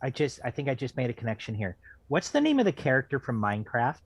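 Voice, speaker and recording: A man's voice: medium pitch, huge pitch swings, fast talker, slightly rough. A man chatting casually in a read-aloud group, joking and swearing as he comments. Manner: joking, profane. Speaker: book club reader and commentator.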